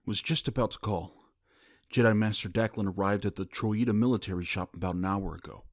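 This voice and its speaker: Low, dry-sounding Imperial general. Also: male voice, fairly quick, dry recording. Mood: dutiful. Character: deferential male Imperial military general reporting. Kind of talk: audio drama acting.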